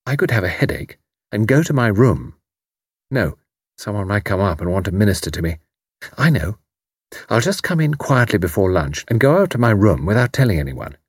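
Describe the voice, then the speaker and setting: A man voicing a brisk, resourceful Englishwoman in an audiobook, reasoning aloud with an English accent. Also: on the low side, sing-song, slightly rough, no echo, clean studio sound. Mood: pondering, practical. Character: brisk, resourceful British woman amateur spy, practical.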